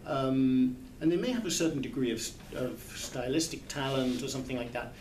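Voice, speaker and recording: A man's voice: medium pitch, moderate pace. A man in his sixties speaking thoughtfully and hesitantly as a literary translator in an informal group discussion. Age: 60s. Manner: thoughtful, hesitant, searching for words. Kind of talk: informal discussion at a book club. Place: large indoor hall, small group seated in circle. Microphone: camera a few metres away. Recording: echoey, distant camera mic, background noise.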